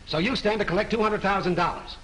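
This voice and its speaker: A brusque police lieutenant from an early-1930s murder film. He fires blunt, sarcastic questions in a fairly high, gruff voice over a faint hiss. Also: fast talker, fairly bright tone, low-quality recording. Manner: blunt, needling interrogation.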